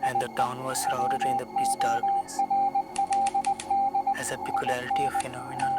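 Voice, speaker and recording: A woman's voice: very high voice, huge pitch swings, normal speed, over loud music, heavily acted. A woman voicing a grave, formal news bulletin in an audio drama, reporting with ominous weight. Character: grave wire bulletin reporting a creeping menace.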